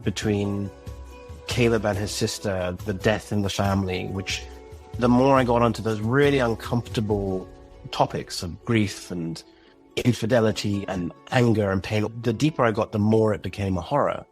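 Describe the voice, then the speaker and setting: A man's voice: on the low side, normal speed, soft background music. A man talking as a podcast guest about creating an audio drama, thoughtful and earnest. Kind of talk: reflective interview talk.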